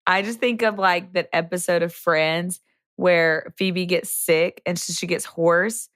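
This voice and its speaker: A woman co-hosting a podcast in casual chat, hoarse after an illness, telling stories in a loose, chatty way. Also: lowish voice, fairly even intonation, measured pace, a bit bright, no room sound, clean studio sound. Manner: chatty, loose storytelling with many fillers. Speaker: podcast co-host and middle school teacher.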